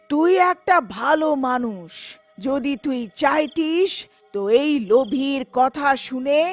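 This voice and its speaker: A woman voicing a vengeful, scornful witch ghost in an animated horror folktale, with emphatic threats. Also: high-pitched, no echo.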